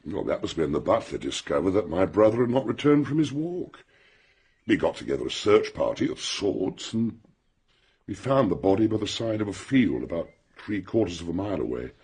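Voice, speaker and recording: A blunt, well-bred English gentleman in a radio mystery. His voice is low and very husky, swinging widely in pitch as he speaks in a clipped, forthright way. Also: very animated, moderate pace, full character voice. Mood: grave, forthright. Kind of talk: radio drama acting.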